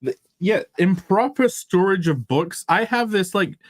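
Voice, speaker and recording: An English man co-hosting a loose comics podcast, talking in a slightly high voice. He swears casually and trips over his restarts. The sound is dry and close. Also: lively intonation, dry recording. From England. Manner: swears freely, halting restarts. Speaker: podcast co-host. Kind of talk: casual chat.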